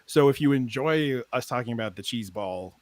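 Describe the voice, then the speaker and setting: A man's voice: mid-range voice, lively intonation, moderate pace, dry recording. A man who co-created and scored an audio drama, eagerly explaining the show's extras in a casual interview.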